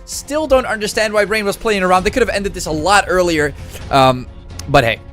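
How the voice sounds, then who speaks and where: A man's voice: high-pitched, a little fast, a bit bright, faint music behind. A man with a Dutch accent casting an esports match and hosting the stream, chatty with many fillers. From Netherlands. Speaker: esports caster, stream host. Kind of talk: live esports commentary and post-match chat.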